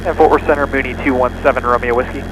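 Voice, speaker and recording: A male pilot making routine radio calls in rapid, standard phraseology. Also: mid-range voice, fairly even intonation. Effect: aircraft radio. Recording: radio audio with engine noise.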